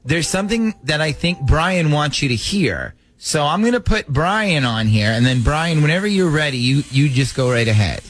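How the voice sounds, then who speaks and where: A man's voice: a little high, expressive, moderate pace, hardly any pauses, a bit bright. A man hosting a morning radio show, chatting with a caller and steering the call. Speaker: radio host. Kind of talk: morning radio phone segment.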